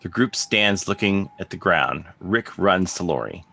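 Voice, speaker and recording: Deep, husky male narrator. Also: lively intonation, unhurried, bright, forceful tone, dry recording. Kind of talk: scripted narration of stage directions. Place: remote video call read. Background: music under the voice.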